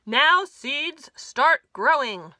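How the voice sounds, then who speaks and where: A woman voicing an earnest, anxious male toad from a children's story, in a loud, emphatic manner. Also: high-pitched, animated, drawn-out words, no echo, clean studio sound, pitched above the performer's own voice, exaggerated voice. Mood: commanding.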